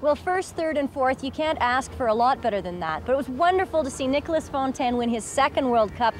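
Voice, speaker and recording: A woman with a slightly high voice gives enthusiastic ski analysis outdoors. Faint open-air noise sits behind her on an old VHS recording. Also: animated, brisk. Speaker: sports analyst. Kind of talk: on-camera sports commentary. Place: outdoors at a snowy ski hill.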